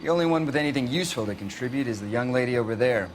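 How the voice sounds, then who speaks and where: A man playing a uniformed patrolman in a crime drama game. He has a mid-pitched voice and reports plainly and dryly. Faint noise sits behind him. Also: animated, racing, lightly acted. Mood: matter-of-fact. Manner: plain, factual, dryly wry. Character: matter-of-fact male uniformed patrolman reporting to a detective. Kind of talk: scripted crime drama acting.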